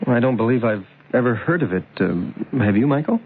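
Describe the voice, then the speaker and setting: An actor playing a glib, chatty, skeptical young man in an old-time radio drama, breezy and halting. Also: medium pitch, lively intonation, husky. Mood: polite, curious. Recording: muffled, noisy old radio recording.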